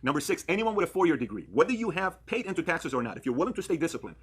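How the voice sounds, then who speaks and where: A man's voice: a little high, sing-song, rapid-fire, slightly rough. A man in his thirties from Iran presenting a persuasive explainer, earnest and emphatic, posing questions and answering them himself. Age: 30s. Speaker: video presenter.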